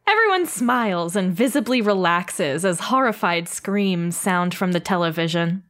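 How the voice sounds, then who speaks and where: A woman's voice: fairly low pitch, animated, moderate pace, heavy background noise. A woman co-hosting a podcast, reading stage directions for a table read and then chatting in a bubbly, enthusiastic way. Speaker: writer and co-host.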